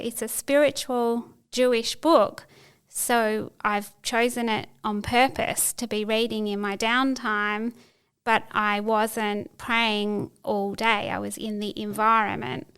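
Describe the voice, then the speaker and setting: Middle-aged woman, interview guest, very clean smooth voice, fairly flat delivery, thoughtful with restarts. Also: medium pitch. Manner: thoughtful, searching for words with frequent restarts. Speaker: podcast guest. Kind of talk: reflective interview conversation.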